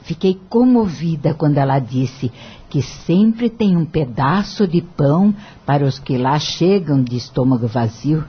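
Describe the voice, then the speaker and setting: An actress playing a gentle mother of a grown son, speaking tenderly in a radio drama. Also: low-pitched, lively intonation, breathy edge, heavily acted. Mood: tender, moved. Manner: tender, unhurried. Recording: dull, lo-fi radio recording.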